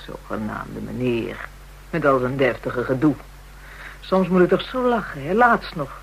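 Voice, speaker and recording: A woman's voice: very deep voice, lively intonation, measured pace, vocal fry, slight background noise, heavily acted, rough recording. An actress playing a coarse, brazen, spiteful call girl in a radio drama, talking in crude, taunting slang. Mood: scornful, gleeful.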